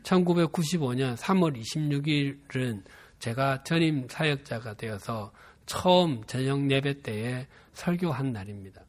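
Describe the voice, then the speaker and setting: A man in his fifties from Busan with a Gyeongsang dialect accent, preaching a sermon and telling a personal story in a measured, earnest way. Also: mid-range voice. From Busan, South Korea. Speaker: pastor.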